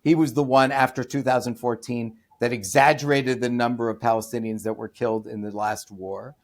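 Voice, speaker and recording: American guy on a podcast, lots of uh you know then gets loud and scornful. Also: mid-range voice, steady tone, brisk. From United States. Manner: emphatic, many restarts and fillers, rising to loud scornful outbursts. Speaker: podcast guest, author. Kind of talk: opinionated interview talk.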